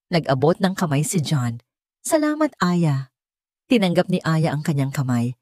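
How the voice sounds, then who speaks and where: Female Filipino storyteller for scripted romantic drama narration; soft dark timbre, close dry sound. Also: sits low, a little fast, no room sound.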